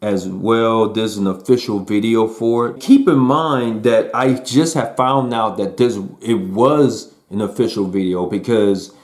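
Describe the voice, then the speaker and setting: A man talks through an album in a loose, chatty way. His voice sits a little low and he fills his sentences with slang and easy fillers. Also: a little slow, hardly any pauses. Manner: relaxed and conversational, with slang and fillers. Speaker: music reviewer. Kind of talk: casual album review.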